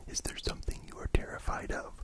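A performer voicing a playful, gently menacing vampire dog count in a slow, repetitive ASMR whisper, close to the microphones. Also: close to an everyday voice. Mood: playful, soothing. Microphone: close pair of microphones.

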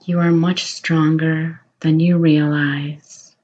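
Very slow, low-voiced woman meditation guide. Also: level tone, dull audio. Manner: slow, soothing, gentle reassurance.

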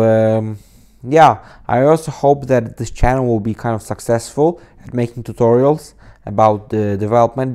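A young man from Poland, speaking English as a second language, talks through a coding tutorial in a casual, rambling way. His voice is lowish, warm and smooth, with frequent restarts and fillers, captured on an inexpensive desk mic. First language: Polish. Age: 20s. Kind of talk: casual screen-recorded tutorial talk. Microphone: cheap desk microphone on a laptop.

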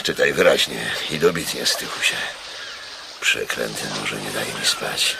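An ageing, sleepless Roman proconsul in a radio play. The voice is very deep and creaky, slow and grandly declaimed, heavy with brooding weariness. There is clear noise behind it, like rumbling water. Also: male voice, huge pitch swings, full character voice. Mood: tormented. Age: older. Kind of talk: theatrical radio drama acting.

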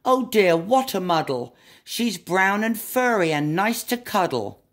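A woman's voice: medium pitch, sing-song, a little slow. A woman reading a storybook aloud as a helpful, confident but muddled butterfly guide. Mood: eager.

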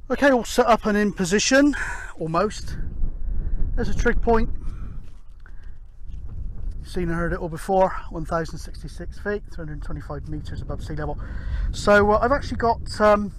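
A man's voice: high-pitched, expressive, moderate pace, poor audio. A middle-aged British man giving casual, rambling, matter-of-fact narration for an outdoor vlog. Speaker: amateur CB radio vlogger. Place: open moorland hilltop, outdoors. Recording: windy outdoor handheld camera recording. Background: wind and outdoor ambience.

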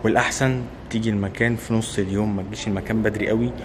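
A man's voice: fairly low pitch, fairly even intonation, rapid-fire, noisy background. A man in his twenties with an Egyptian accent, chatting casually and emphatically to camera as a travel vlogger, often repeating himself. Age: 20s. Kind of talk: casual vlog chat to camera. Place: old stone mosque, arcades and open rooftop. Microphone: camera held at arm's length.

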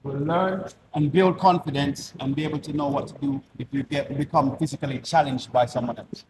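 A man's voice: medium pitch, steady tone, fairly quick. A man from Jamaica making an upbeat invitation to a gym class, checking in with "right?" as he talks. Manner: upbeat, checking in with tag questions. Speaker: gym organizer. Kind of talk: inviting announcement to camera. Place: large indoor gym. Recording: echoey gym, distant from mic, noisy.

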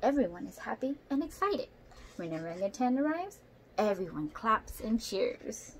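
A woman's voice: fairly high pitch, sing-song, moderate pace. A young woman reading a picture book aloud expressively, with asides to young listeners. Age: young adult. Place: small indoor room at home. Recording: noisy home room recording.

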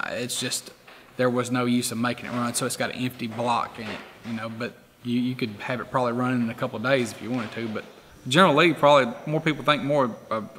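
A man in his forties talks in a loose, easygoing way, circling back with fillers as he chats. His voice is bright and forward. A faint roomy reverb lingers behind him. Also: mid-range voice, slight background noise. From Tennessee. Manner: relaxed, rambling, many "you know" fillers. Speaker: car museum owner. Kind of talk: documentary interview. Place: garage workshop full of memorabilia.

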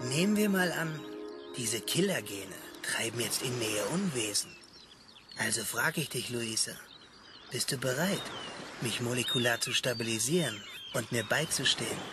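An actor playing a shaken survivor in a radio drama, wry and given to solemn, philosophical musing. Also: male voice, mid-range voice, animated, moderate pace, loud music behind the voice, lightly acted. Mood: wry, intense. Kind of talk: German radio drama dialogue.

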